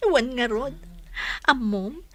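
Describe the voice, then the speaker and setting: A woman's voice: a little high, slow pace, sharp tone. A woman acting a smitten, giggly young village woman in a radio drama, coy and gushing. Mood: giddy, smitten.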